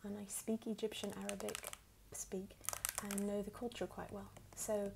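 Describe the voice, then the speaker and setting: A woman from the United States with a put-on English accent, speaking softly and at times whispering as she unhurriedly answers questions for an ASMR video. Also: medium pitch, flat delivery, moderate pace, vocal fry, background noise. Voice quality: soft, partly whispered.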